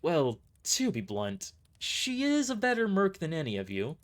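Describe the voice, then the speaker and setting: A man's voice: high-pitched, huge pitch swings, unhurried, creaky, pitched above the performer's own voice, heavily acted. A man voicing a nervous, sheepish male mercenary boss who speaks hesitantly and reluctantly in an audio drama. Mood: awkwardly blunt.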